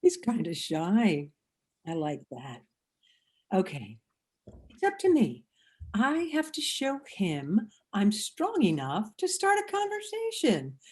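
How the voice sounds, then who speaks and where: A woman's voice: medium pitch, huge pitch swings, a little mellow, slightly rough, full character voice. A woman playing a wry, overthinking single woman. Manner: wry, overthinking, quick asides. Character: witty anxious single woman at a gallery. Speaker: actor in a one-act play. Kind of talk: stage comedy inner monologue. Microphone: video call.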